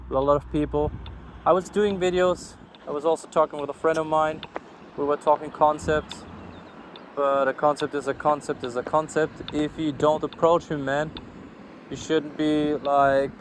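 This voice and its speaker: A sleep-deprived man with a German accent rambles frankly and casually into his phone while walking outdoors, swearing and putting himself down. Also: a little high. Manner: frank, swearing, self-deprecating. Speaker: vlogger. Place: outdoors, walking along a street. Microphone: phone recording while walking. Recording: outdoor street and traffic noise. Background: ambient outdoor noise with passing vehicles.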